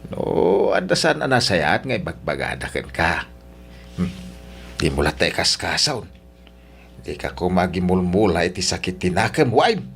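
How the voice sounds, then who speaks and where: A man's voice: deep voice, very animated, measured pace, creaky, a bit bright, a little hiss or hum, heavily acted. A man with an Ilocano Filipino accent playing a kindly, pious old father-in-law in a radio drama, giving fatherly, encouraging counsel. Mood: gentle, pleased. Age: older.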